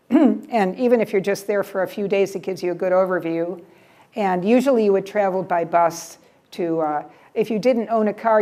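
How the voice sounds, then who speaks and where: An older woman with an American accent chats her way through a library travel presentation. Her voice is mellow and warm at an ordinary pitch. She talks loosely, doubling words and drifting off with "yeah". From United States. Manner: chatty, repeats words, trails off with "yeah". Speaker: guest speaker at a library travel talk. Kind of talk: casual presentation with audience questions. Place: library event room.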